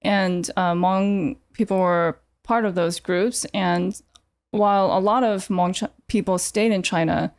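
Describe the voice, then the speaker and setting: A woman with a Californian accent speaks as a guest in a casual conversation. Her voice sits at a middle pitch and is recorded very dry. She explains things informally and a little tentatively. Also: fairly even intonation, unhurried, a little mellow, clean tone, dry recording. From United States (California). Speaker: podcast guest, artist. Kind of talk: casual conversational interview answers.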